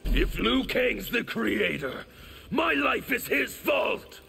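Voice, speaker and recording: Monstrous male outcast, bitter and defiant, extreme rasping growl, wildly swooping pitch, game dialogue over faint music. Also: big pitch swings, takes it slowly, bright, forceful tone, exaggerated voice. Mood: defiant fury. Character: embittered, raging male monstrous outcast rebel. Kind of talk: video game fight intro dialogue.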